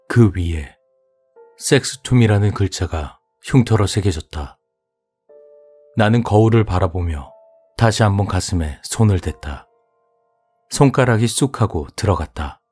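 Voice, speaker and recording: A man reading a horror audiobook as its narrator, telling the story in a measured, even way. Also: sits low, breathy edge. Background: soft music.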